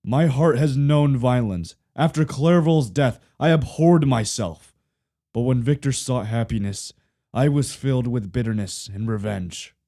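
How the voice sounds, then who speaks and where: A man's voice: medium pitch, a little slow, mellow. A man playing a bitter, remorseful monster made from the dead in a radio play, speaking formally and broodingly. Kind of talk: theatrical radio play acting.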